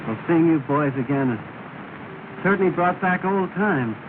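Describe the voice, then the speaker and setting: An actor playing a kindly, courteous gentleman who speaks in a genial way, on a tinny old film soundtrack. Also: fairly high pitch, expressive, normal speed, background noise, natural voice. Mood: somber. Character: kindly gentleman, former employer's son. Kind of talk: 1930 film acting.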